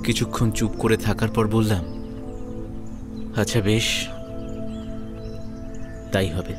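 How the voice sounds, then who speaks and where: A man with a Kolkata Bengali accent playing an earnest, lovelorn young man in an audio story, speaking wistfully with dramatic pauses. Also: lowish voice, fairly even intonation. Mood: resigned, tender. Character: lovelorn, self-sacrificing young man devoted to his friend. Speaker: narrator and lead actor in an audio story. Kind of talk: dramatic first-person audio story narration and dialogue. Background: background music.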